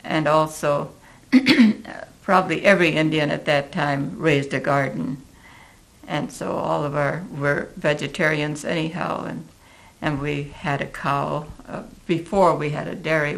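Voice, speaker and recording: An elderly American woman looks back on her life in an interview. Her voice is very gravelly and full of creak. She speaks slowly and deliberately, pausing as she thinks. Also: low voice, steady tone, poor audio. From Oregon, United States. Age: 80s. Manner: halting, deliberate, thoughtful pauses. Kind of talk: reflective oral history interview.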